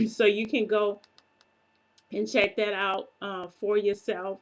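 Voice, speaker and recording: A woman hosting her own ministry channel, explaining things to viewers in a casual, unhurried way, often clearing her throat and pausing on fillers as she corrects herself. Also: medium pitch, level tone, dark tone. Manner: unhurried, self-correcting, conversational. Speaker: prophetic minister and video channel host.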